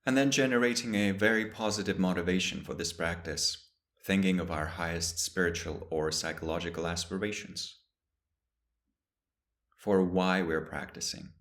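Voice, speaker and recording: A man with a very deep, low voice leads a guided meditation. He speaks slowly and calmly, leaving long pauses between short phrases. His tone stays soft and steady throughout. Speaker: meditation teacher.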